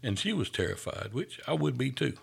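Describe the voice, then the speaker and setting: A man's voice: mid-range voice, sing-song, fairly quick, vocal fry, slightly rough. A man who works as a paramedic, telling stories in an earnest, easygoing way during a casual chat. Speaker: paramedic, podcast host.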